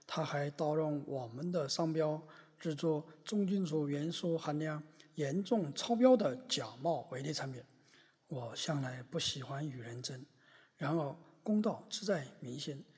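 A man's voice: fairly high pitch, expressive, measured pace, a little mellow, slightly rough, exaggerated voice. A man playing a smug, self-righteous company boss making his plea as plaintiff in a satirical courtroom drama. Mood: aggrieved. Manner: pompous, self-praising, grandiose. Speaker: voice actor in a podcast courtroom drama. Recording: echoey hall reverb.

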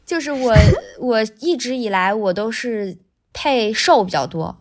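A woman with a thin, bright, lively voice, talking casually as a voice actor guest on a podcast, explaining things in an animated way. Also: fairly high pitch, a little slow.

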